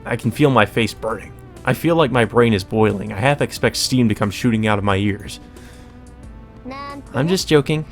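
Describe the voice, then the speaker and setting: A man's voice: on the low side, a little fast. Man narrating a visual novel, faint music behind. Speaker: let's play host.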